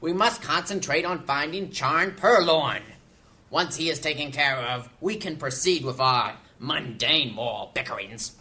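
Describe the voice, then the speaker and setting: Woman acting brisk mage lady, really low bright forceful voice, formal, fantasy audio drama. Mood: impatient, pragmatic. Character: brisk, no-nonsense female council mage.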